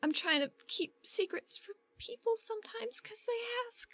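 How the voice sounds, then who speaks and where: A woman voicing a flustered, defensive woman adventurer in a tabletop game, with halting excuses and blunt words. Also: very high voice, animated, sharp tone, no echo, pitched above the performer's own voice, heavily acted.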